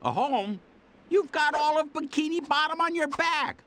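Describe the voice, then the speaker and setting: A man's voice: extremely high pitch, lively intonation, normal speed, bright, forceful tone, full character voice. A man voicing an exasperated, sarcastic superhero sidekick in a cartoon video game, griping sardonically. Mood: incredulous.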